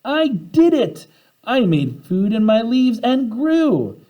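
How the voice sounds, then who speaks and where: A man's voice: very high voice, big pitch swings, slow pace, dull audio, voice pushed up, exaggerated voice. A man voicing an earnest, hungry little schoolgirl turned bean plant in a storybook, speaking in an exclamatory way. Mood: triumphant.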